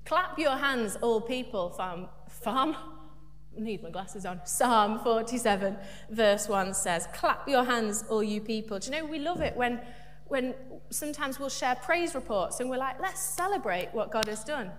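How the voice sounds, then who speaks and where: A woman's voice: fairly high pitch, expressive, measured pace. A woman preaching a light, humorous sermon in church, chatty and self-deprecating. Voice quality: big loud voice. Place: church hall. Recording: echoey church hall, faint hum.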